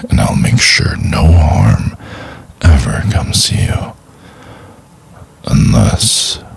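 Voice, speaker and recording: A man acts out an obsessive, tender yandere boyfriend in an ASMR roleplay. The voice is extremely low and breathy with a gravelly crackle, coaxing slowly and intimately. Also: exaggerated voice. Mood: controlling, coaxing. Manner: slow, coaxing, intimate, lingering on words.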